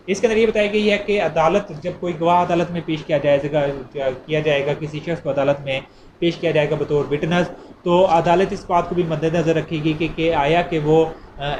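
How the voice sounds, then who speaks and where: ऊँची आवाज़ वाला पाकिस्तानी पुरुष शिक्षक. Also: male voice, a little high. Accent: Pakistani. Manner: didactic, repeats points, poses and answers questions. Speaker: law lecturer. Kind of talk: informal explanatory lecture. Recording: clearly noisy amateur recording.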